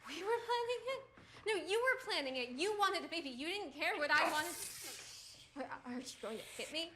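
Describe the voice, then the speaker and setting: A young woman playing a nervous partner who confesses a secret, stammering in hushed tones. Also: fairly high pitch, a little fast, gravelly, background noise. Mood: defiant. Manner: hesitant, stammering whispers turning to defiant shouts. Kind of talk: scripted short-film drama.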